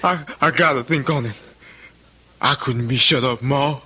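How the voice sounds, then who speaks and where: An actor playing a simple-minded, childlike country son with a Southern American accent and halting, repetitive speech in a radio drama. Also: male voice, medium pitch, pushed and bright, slight background noise. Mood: distressed.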